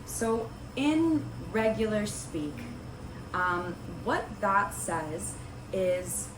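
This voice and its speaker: A young woman talks to the camera in a casual, rambling way, full of ums and likes. Her voice sits low and is a little raspy from a cold. The room sounds roomy, with clear background noise. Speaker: host of a Bible study video series. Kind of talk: casual talking-to-camera vlog.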